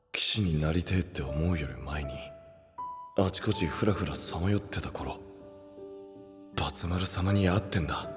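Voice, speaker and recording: A man playing a rough, brooding young knight in a voice drama, gruff and anguished, proud yet tormented. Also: a bass voice. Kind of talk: anime-style voice drama acting.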